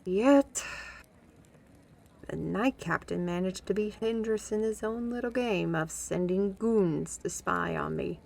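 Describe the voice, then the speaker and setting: Unhurried wry young fantasy woman, played by an actress. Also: mid-range voice, creaky, darkish tone. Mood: wry, measured. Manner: unhurried, conversational, speaking directly to a listener. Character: young fantasy woman Vinci, wry, guarded, gently teasing. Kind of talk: scripted roleplay audio acting, ASMR.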